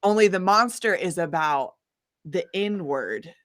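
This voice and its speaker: A woman with a slightly low voice tells stories casually as an interview guest. She is animated and informal, often slipping into quoted dialogue as she goes. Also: a little slow. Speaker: singer-songwriter interview guest. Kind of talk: casual chatty interview storytelling.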